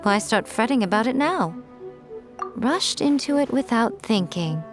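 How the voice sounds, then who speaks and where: A woman's voice: a little high, big pitch swings, measured pace. A woman voicing a sly, self-assured female mystic in a video game, teasing and condescending in a leisurely drawl. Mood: wry, exasperated. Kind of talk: video game character acting. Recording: music behind the voice.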